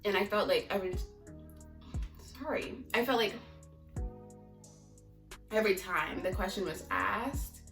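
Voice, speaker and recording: A woman's voice: medium pitch, a little slow, long pauses, a bit bright. A young American woman giving a casual, candid vlog talk to her viewers, chatty and rambling as she goes. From United States (Maryland), teens in Mozambique. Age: young adult. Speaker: vlogger. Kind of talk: casual chat to camera. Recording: echoey room, distant mic, music behind.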